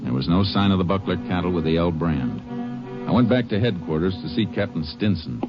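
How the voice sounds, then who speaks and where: A man acting a calm, methodical Texas Ranger in a 1950s radio drama, terse and matter-of-fact. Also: deep voice, brisk, music in the background. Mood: businesslike. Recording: muffled, noisy old radio recording.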